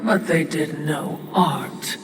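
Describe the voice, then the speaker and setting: Echoey, sly spirit companion. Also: female voice, low voice, slow, background noise. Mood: wry chatter. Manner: wry, insinuating. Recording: echoey, distant, with loud game music.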